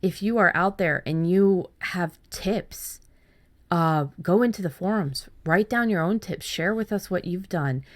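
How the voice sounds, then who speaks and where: A woman with a fairly low-pitched voice hosts a writing podcast. She talks in a loose, chatty way, often restarting and repeating words as she goes. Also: a little slow. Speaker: podcast host. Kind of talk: casual podcast chat.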